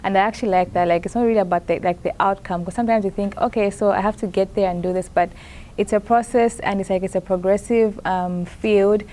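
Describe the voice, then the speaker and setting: A young woman from Zambia, a master's student in plant science, answering interview questions in a hesitant, soft-spoken way with frequent fillers. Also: mid-range voice, steady tone, fast talker. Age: young adult. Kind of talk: conference interview, casual answers.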